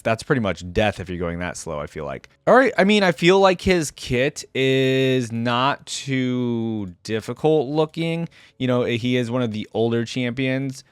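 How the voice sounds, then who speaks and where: A man's voice: mid-range voice, clean tone, no echo, clean studio sound. A man giving casual reaction commentary, thinking aloud in a tentative way and sometimes reading text out. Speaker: reaction video host.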